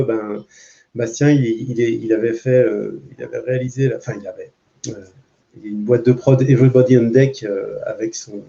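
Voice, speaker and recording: A man speaking off the cuff on a panel as a comic book author, haltingly and with repeated words. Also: medium pitch, mellow. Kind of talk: spontaneous panel talk. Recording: muffled, dull sound.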